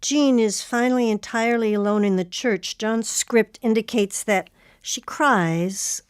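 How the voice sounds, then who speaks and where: A woman hosting a public radio arts show, speaking in a measured, articulate way. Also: a little high, clean tone, dry recording, studio quality. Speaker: radio show host, founder and artistic director.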